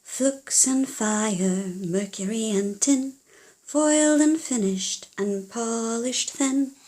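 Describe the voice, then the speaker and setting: A woman voicing a young male glassmaker in a storybook, patient, measured and gently coaxing. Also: on the high side. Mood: secretive chanting.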